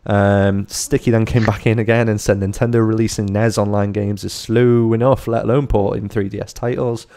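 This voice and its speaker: A man's voice: on the low side, little pitch movement, warm tone. A man co-hosting a live podcast stream, reading viewer comments aloud and teasing his co-hosts. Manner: joking, quick to tease. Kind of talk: casual live-stream chat.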